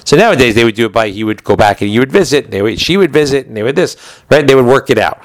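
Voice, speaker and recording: A man's voice: mid-range voice, lively intonation, racing, dry recording. A man giving an informal religious law class, explaining texts in a digressive way, often rephrasing and posing questions to himself.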